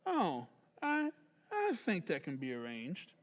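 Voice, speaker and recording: An actor playing a smooth-talking maker of lifelike marionettes in an old-time radio drama recreation, with assured salesman's patter. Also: on the high side, huge pitch swings, slow pace, lo-fi sound, heavily acted. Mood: suave. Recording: long reverb on stage.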